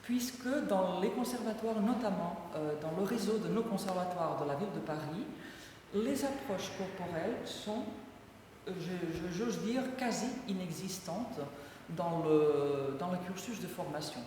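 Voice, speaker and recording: Catalan-native woman author, halting lecture delivery, distant mic, faint room ambience. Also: lowish voice, animated, dark tone, slight background noise. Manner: hesitant, many fillers and restarts. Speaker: author presenting her book. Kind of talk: lecture-style book presentation. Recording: echoey hall from far off.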